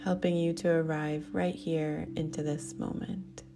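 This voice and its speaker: A woman speaking as a meditation teacher, gently guiding a practice in a soothing, unhurried way. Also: low voice, flat delivery, vocal fry, over music. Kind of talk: guided meditation.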